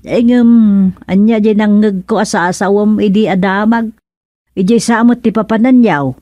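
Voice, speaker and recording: A woman's voice: mid-range voice, normal speed, dark tone, no echo, highs cut off, natural voice. An actress playing a worrying, nagging older village wife and mother with an Ilocano accent in a radio drama comedy. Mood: worried.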